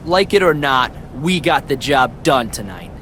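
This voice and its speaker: A man's voice: medium pitch, sing-song, a little slow, background noise. A man playing a vain, dismissive, self-serving wrestler in scripted video game dialogue. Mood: boastful. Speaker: professional wrestler.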